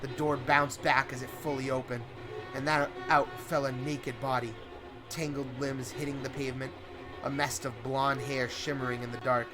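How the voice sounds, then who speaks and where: A man's voice: medium pitch, flat delivery, normal speed, rough recording. A man reading a scripted first-person horror story in a suspenseful, theatrical way. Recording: prominent music under the voice. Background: music.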